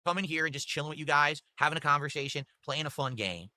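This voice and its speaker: A man's voice: on the high side, quick pace, no echo. A man chatting casually on his stream, thanking viewers for tips and talking about his week. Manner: chatty, reads donations aloud, relaxed. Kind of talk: casual livestream chat.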